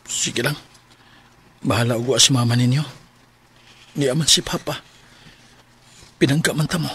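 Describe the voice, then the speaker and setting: A man's voice: medium pitch, moderate pace, pushed and bright, slightly rough, exaggerated voice. A man with a Cebuano accent playing a heartbroken working-class father in a radio drama. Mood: gentle, sad. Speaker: radio drama actor and narrator.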